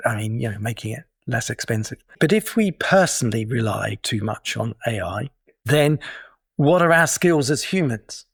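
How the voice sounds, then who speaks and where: Middle-aged British man, podcast guest, measured and explanatory, mid-range voice, dry studio. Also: mid-range voice, expressive, creaky, slightly rough, no echo. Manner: thoughtful, explanatory, with small restarts. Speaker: guest, digital detox coach. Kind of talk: casual interview talk.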